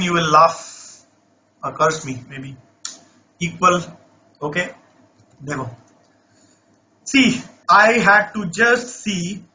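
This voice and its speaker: Man, online math tutor, coaxing, checks in often, voice a bit off, high and very bright, dull lo-fi sound. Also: a little hiss or hum. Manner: coaxing, repeats checks like "right?". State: voice not normal, says he lost his voice. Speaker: math teacher. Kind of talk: live online class, problem solving.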